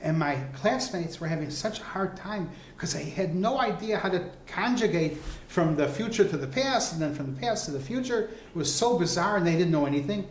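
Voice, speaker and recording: A man's voice: sits high, brisk, hardly any pauses, a little hiss or hum. A man recalling family stories in an interview, repeating words as he thinks. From United States. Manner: animated storyteller, repeats words while thinking. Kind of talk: storytelling interview. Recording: echoey room.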